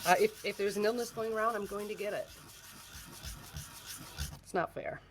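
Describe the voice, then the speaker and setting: A woman's voice: medium pitch, fairly even intonation, normal speed, slight background noise. An American woman hosting a crafting livestream, chatting casually and self-deprecatingly while she works, laughing often and a little congested. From United States.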